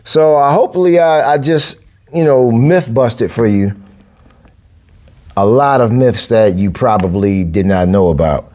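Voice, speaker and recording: A man, talking through a casual solo podcast. He sounds like a coach laying things out plainly, repeating phrases and leaping up in pitch to stress a point. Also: animated, a little slow, a little mellow. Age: 40s. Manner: emphatic, repeats phrases, big pitch jumps for emphasis. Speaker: podcast host, boxing and capoeira coach.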